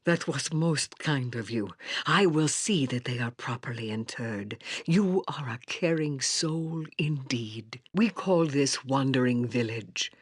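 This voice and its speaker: An actress playing a grave, kindly old female village elder in a fantasy video game, speaking solemnly and courteously in a husky, breathy, halting voice. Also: a bass voice, lively intonation, no room sound, clean studio sound, full character voice. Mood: grave warning.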